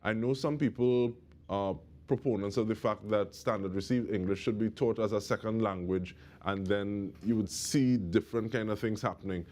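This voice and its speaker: A man's voice: fairly low pitch, moderate pace, darkish tone. A man, speaking as the host of a broadcast interview.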